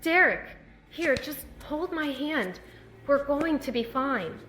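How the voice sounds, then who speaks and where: A woman's voice: sits high, expressive, fairly bright tone, heavily acted. Echoey, earnest woman sheriff played by an actress. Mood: steadying, urgent. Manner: measured, earnest, halting when emotional. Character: town sheriff, calm, grieving, protective woman. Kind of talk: audio drama acting. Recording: echoey tunnel-like reverb with music.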